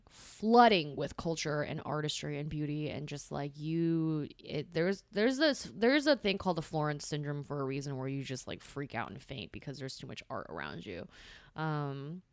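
A woman's voice: low-pitched, no echo, studio quality. A woman in her twenties whose mother tongue is Chinese, chatting loosely as a podcast guest with frequent swearing and rambling asides. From grew up with Chinese. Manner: rambling, profane, laughing asides. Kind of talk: casual conversation.

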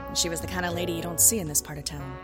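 An actress playing a private detective who narrates in a deadpan film noir style. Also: female voice, on the low side, rapid-fire, loud music behind the voice, natural voice. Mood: wry. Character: hard-boiled private eye narrator, wry and dry.

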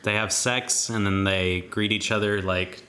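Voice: low-pitched, fairly even intonation, bright, forceful tone, slight background noise. A podcast co-host chatting casually, making wry jokes and laughing often. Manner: joking, quick to laugh, wry comments. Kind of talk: casual chat. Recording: echoey room.

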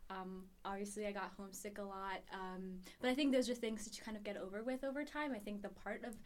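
A woman's voice: medium pitch, fairly even intonation, fast talker. A woman in her early twenties from Ethiopia, reflecting conversationally on her own life as a podcast guest. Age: early 20s. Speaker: podcast guest, university student.

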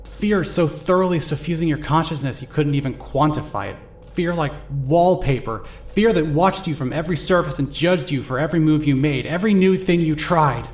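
A man narrating in second person for a fan audio drama, measured, intimate and searching. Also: fairly high pitch, darkish tone, a little hiss or hum. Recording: roomy echoey recording.

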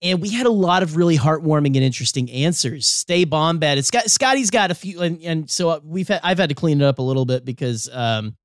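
Young man, podcast co-host, earnest, rambling, slightly high voice, dry sound. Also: lively intonation, fairly quick, hardly any pauses, no echo. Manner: rambling, earnest, many restarts and fillers. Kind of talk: casual podcast chat.

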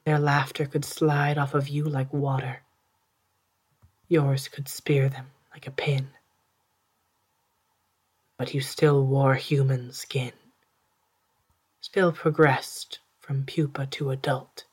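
A storyteller of ambiguous gender narrating a literary tale in a soft, hushed, breathy voice, with measured and deliberate phrasing. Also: medium pitch, flat delivery. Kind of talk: scripted literary narration in second person, storytelling.

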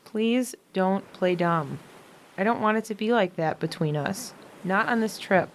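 A young American woman playing a frail, weary younger sister, gentle but frank, in a modern audio drama. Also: medium pitch, lively intonation, measured pace, slight background noise.

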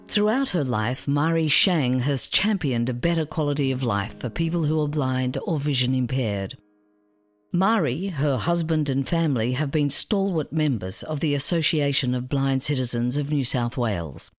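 A woman's voice: a bass voice, animated, moderate pace, no echo, faint music behind. A woman with an Australian accent presenting a podcast in scripted narration. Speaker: podcast host.

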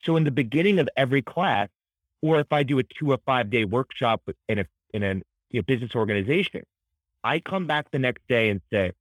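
A high-energy male expert guest with a mid-range voice and a dry, close sound. He explains concepts conversationally, articulate and emphatic, like an educator in an interview. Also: animated, brisk, no echo, poor audio. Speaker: podcast guest, author and psychology educator.

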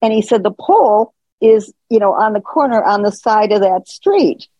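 Female caller, warm husky tone, rambling storyteller, lo-fi line. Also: mid-range voice, lively intonation, moderate pace, no room sound. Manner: rambling storyteller, chuckling, many fillers. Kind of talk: casual call-in Q&A.

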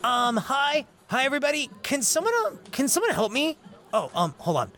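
Timid, high-pitched little captain acted by a man. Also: sing-song, moderate pace, pushed and bright, faint music behind, heavily acted. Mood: sheepish, confused. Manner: hesitant, stammering. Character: timid, childlike, unsure little ship captain.

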